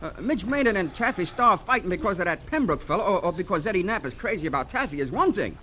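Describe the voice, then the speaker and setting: An actor playing a nervous, defensive young rigger in 1940s radio style, stammering and deferential. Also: male voice, high-pitched, sing-song, fast talker, pushed and bright, exaggerated voice. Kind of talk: 1940s radio drama acting. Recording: faintly noisy old radio recording.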